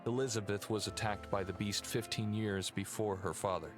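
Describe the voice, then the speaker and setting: A man's voice: on the low side, steady tone, normal speed, lightly acted. An actor playing an earnest, mournful male heir of a cursed family in a video game, explaining things solemnly. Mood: mournful, regretful. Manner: earnest, expository. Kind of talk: video game cutscene acting. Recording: loud music under the voice. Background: music.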